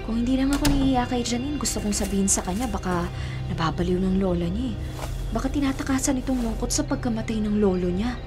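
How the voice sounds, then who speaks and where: A woman acting a teenage Filipino girl in a radio drama. She sounds polite and puzzled, with a high voice. Music is clear underneath. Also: fast, natural voice. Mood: puzzled, wondering. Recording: music bed under the dialogue.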